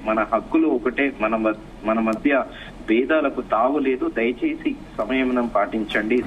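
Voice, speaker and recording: A man giving a live news report as a correspondent, halting often with filler sounds. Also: medium pitch. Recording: dull, muffled live link with faint noise.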